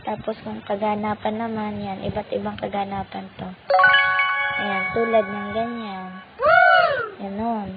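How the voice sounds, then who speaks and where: A woman's voice: medium pitch, little pitch movement, unhurried, pauses often, phone-quality sound, loud music behind the voice. A woman hosting an app tutorial, politely and patiently walking viewers through each step. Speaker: tutorial channel host.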